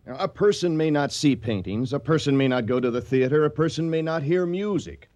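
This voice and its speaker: A man's voice: a little high, fairly quick, lightly acted. An actor playing an overweight, opinionated, theatrical male cook in a radio comedy-drama. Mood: pompous. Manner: emphatic, opinionated, excitable. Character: overweight food-obsessed male cook, passionate, touchy and theatrical.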